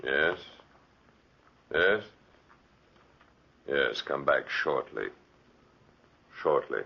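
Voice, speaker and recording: An actor playing a huge, sinister murderous robber, deep and gravelly, slow and menacing, in a 1940s radio drama. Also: male voice, lively intonation, heavily acted. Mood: low summons. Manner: slow, menacing, matter-of-fact. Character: huge sinister man, murderous robber who drugs guests. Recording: muffled vintage 1940s radio recording.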